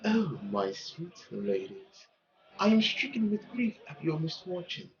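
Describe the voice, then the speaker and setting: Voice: mid-range voice, big pitch swings, slight background noise. Suave French marquis played by a story reader, courtly, condescending, theatrical, widely swinging intonation, lo-fi sound. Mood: mock sorrow. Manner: theatrical, flowery, unhurried speech. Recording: dull lo-fi audio with faint music.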